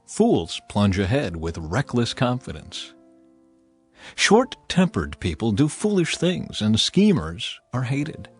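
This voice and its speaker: Male scripture narrator, solemn measured reading, highly varied intonation, slightly husky voice, music behind. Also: wildly swooping pitch. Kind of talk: dramatized audiobook scripture reading.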